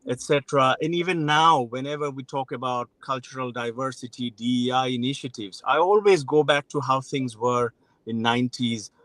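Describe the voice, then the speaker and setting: A man in his forties from Bangladesh talks as an interview guest, frank and reflective, often breaking off and restarting his thoughts. His voice sits at an ordinary middle pitch. The recording is close and dry. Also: moderate pace, a bit bright, no room sound. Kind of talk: interview conversation.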